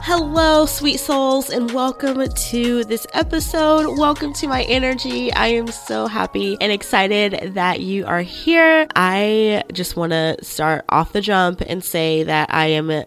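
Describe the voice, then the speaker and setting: A woman hosting a podcast in a chatty, informal, expressive way, sounding a little nasal and congested from a cold. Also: on the high side, slow, few pauses, soft background music. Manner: informal and expressive, often checks in with "right?". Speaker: podcast host and life coach. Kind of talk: chatty solo podcast talk.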